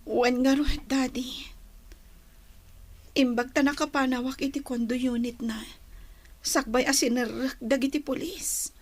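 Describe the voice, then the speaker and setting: An actress in a radio drama playing a troubled young daughter, earnest and reproachful about a former boyfriend. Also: female voice, high voice, steady tone, measured pace, pauses often. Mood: rueful. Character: troubled young woman, disillusioned former girlfriend and dutiful daughter. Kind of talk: Ilocano radio drama acting.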